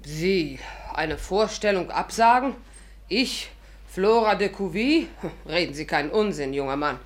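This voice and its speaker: Haughty old theatre diva, lowish voice, grand n theatrical, old radio play. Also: female voice, lively intonation, measured pace. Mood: indignant, haughty. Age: older. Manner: grand, drawling, dismissive. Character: imperious elderly grande-dame stage actress, haughty and theatrical. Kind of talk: 1950s German radio drama acting.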